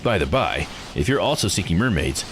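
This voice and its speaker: A man's voice: low-pitched, very animated, fairly quick, noisy background. A man voicing a sly, courteous, inquisitive researcher in a visual novel, with a mannered, teasing delivery. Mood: coy, pleased. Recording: music behind the voice.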